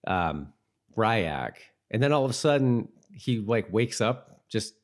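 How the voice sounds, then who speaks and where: A man with a slightly low-pitched voice co-hosts a podcast. He speaks informally, in the loose back-and-forth of a casual rewatch chat. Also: very animated, slow. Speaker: podcast co-host.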